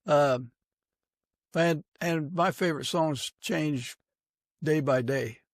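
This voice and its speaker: A man's voice: fairly high pitch, takes it slowly, no echo, studio quality. A man hosting a podcast, asking questions and commenting in a casual, conversational way. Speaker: podcast host, interviewer.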